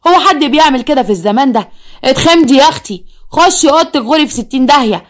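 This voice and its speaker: A woman's voice: expressive, very fast. A woman with an Egyptian accent voicing a harsh middle-aged mother who scolds and curses her daughter. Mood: furious. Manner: shrill, cursing, scolding.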